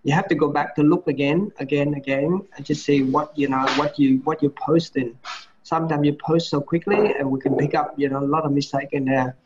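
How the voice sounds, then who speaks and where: A middle-aged man with a Vietnamese accent talks from his home over a video call, his voice a touch high. He stops and restarts a lot and fills gaps with "you know". The audio is dull and lo-fi with a faint hiss behind it. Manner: halting, many restarts and "you know" fillers. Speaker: medical teacher giving feedback. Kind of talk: online class feedback, mixing Vietnamese and English. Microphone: laptop or webcam mic on a video call.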